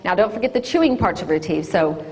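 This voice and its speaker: Loud, lively woman teaching kids. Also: medium pitch, fast talker, a little hiss or hum. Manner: loud and lively, sing-song call-and-response. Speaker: orthodontist giving a school talk. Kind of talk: classroom presentation to children.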